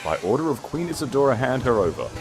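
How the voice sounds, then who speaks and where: A man's voice: medium pitch, very animated, fast, over music, pitched above the performer's own voice. Man voicing a blustering guard captain, raised voice. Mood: alarmed, officious. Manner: shouted, officious, formal. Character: nervous blustering male guard captain, shouting orders.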